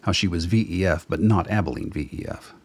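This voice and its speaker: A man narrates a radio drama from a script. His voice is low and deep, with a husky, breath-roughened grain. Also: a little fast, a little mellow.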